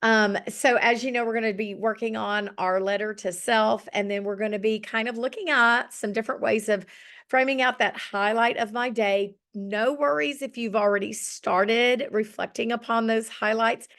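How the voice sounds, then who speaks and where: A woman's voice: on the high side, steady tone, normal speed, fairly bright tone. A middle-aged woman with a slightly scratchy voice, leading an online journaling session in a chatty, encouraging way over a video call. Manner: chatty and encouraging, with many ums. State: slightly scratchy voice after illness. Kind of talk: casual online group session.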